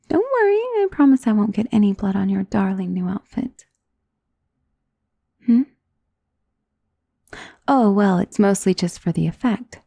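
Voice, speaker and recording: A woman voicing an elegant, doting, possessive vampire noble in an intimate ASMR roleplay, soft and breathy, often slipping into whispers. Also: mid-range voice, dry recording. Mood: calm, scheming. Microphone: close studio mic.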